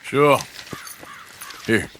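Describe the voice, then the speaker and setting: A man's voice: extremely slow, bright, forceful tone. An actor playing a skeptical American outlaw gunman in a video game, dry, wary and plain-spoken. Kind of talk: dramatic video game acting. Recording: clearly noisy outdoor ambience.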